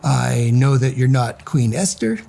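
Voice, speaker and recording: A man's voice: mid-range voice, expressive, measured pace, vocal fry, dark tone, a little hiss or hum. A man voicing a brave, clever storybook hero who defies a goblin with taunting, unafraid nonchalance. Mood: defiant.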